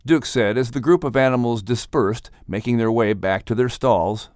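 A man narrating a children's audiobook in an expressive, measured storytelling style. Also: mid-range voice, no room sound, studio quality.

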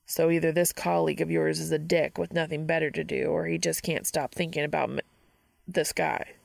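A woman voicing a gruff, awkward, guarded man in a story reading, low-key and halting. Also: level tone, dry recording, clean studio sound, full character voice.

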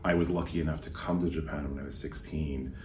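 A very low-voiced man from the States chats easily as a podcast guest, with loose fillers. He sits a little back from the mic in a roomy space with a faint hiss. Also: fast, far from the mic. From United States. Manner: relaxed storytelling with fillers. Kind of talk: casual conversation.

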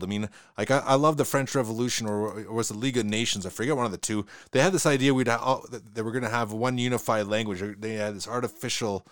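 A man with a Western Canadian accent, talking fast in casual conversation and often repeating his words. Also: mid-range voice, no echo, clean studio sound.

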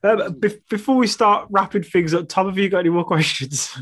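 A man's voice: sits high, a little fast, a bit bright. A man hosting a show, casually putting questions to a guest. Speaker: show host, interviewer. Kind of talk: casual interviewing.

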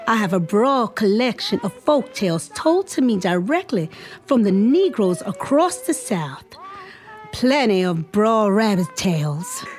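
A woman's voice: medium pitch, big pitch swings, measured pace, soft background music. An actress playing an eager, spirited young woman writer in an audio drama, polite and persuasive as she seeks patronage. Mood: bright, polite.

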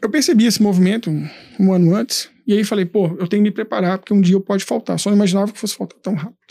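A man telling his business story in a casual interview, animated, swearing and acting out his past thoughts. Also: high voice, racing, gravelly, no room sound. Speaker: entrepreneur guest.

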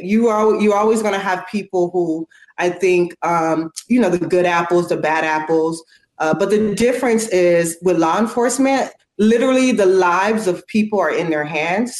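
A woman with a somewhat low voice talks in an earnest, outspoken way and uses frequent fillers. She sounds as if she is in an echoey room. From United States. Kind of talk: casual conversation.

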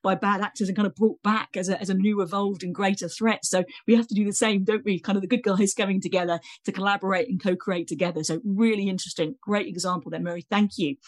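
A British woman conducts a tech interview at a rapid clip. She gushes with enthusiasm, leaning on fillers between her points. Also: mid-range voice, fairly even intonation. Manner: enthusiastic, effusive, many fillers.

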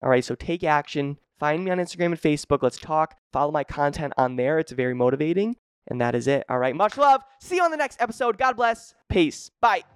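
Energetic young man coaching, dry sound. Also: a little high, fairly quick, clean tone, no echo. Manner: energetic, emphatic, repeats key phrases. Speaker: podcast host and fitness coach. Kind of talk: motivational solo podcast talk.

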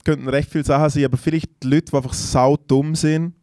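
A young Swiss man with a Swiss German accent, his voice mellow and warm. He co-hosts a podcast, telling slangy, lively anecdotes and laughing often. The sound is dry and close. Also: a little high, quick pace, no echo. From Switzerland. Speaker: podcast co-host. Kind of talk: casual chat.

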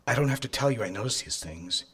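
An actor playing a controlling, smooth-talking boss who courts his employee in a deliberate, coaxing way, in a live radio drama. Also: mid-range voice, animated, fairly bright tone, close to an everyday voice.

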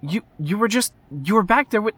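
Anxious, halting man, swinging pitch. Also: lively intonation, pushed and bright, husky, dry recording, full character voice. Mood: awkward, unsure. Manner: hesitant, halting, stammering. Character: anxious, awkward, polite man. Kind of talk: audio drama acting.

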